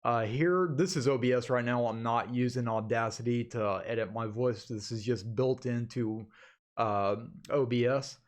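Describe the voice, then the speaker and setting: A man's voice: mid-range voice, steady tone, normal speed. Casual, folksy man explaining things to viewers. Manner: folksy, conversational, addresses listeners as guys. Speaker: amateur animation creator hosting an update video.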